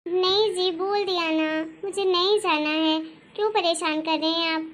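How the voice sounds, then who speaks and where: A woman voicing a worried, soft-hearted housewife and mother in a cartoon family drama, talking in a fretful, plaintive way. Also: very high voice, fairly even intonation, normal speed. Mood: irritated.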